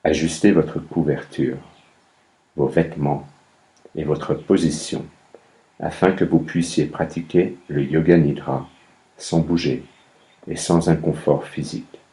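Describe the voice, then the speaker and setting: Male meditation guide, very deep pitch, mellow relaxed tone, calm, measured instructions, long pauses. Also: poor audio. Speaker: yoga nidra meditation guide.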